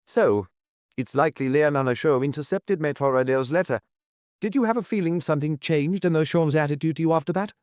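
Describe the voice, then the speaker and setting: A man's voice: on the high side, fairly quick, darkish tone, dry recording, studio quality, voice pushed up, natural voice. A man voicing a solemn examining magistrate in an audiobook, putting short, pointed, formal questions. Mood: probing.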